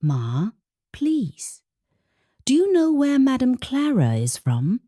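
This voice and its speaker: A woman's voice: high voice, wildly swooping pitch, slow, warm tone, no room sound, clean studio sound, pitched above the performer's own voice, exaggerated voice. A woman with a Nigerian accent voicing an anxious young woman in a folktale, polite but determined.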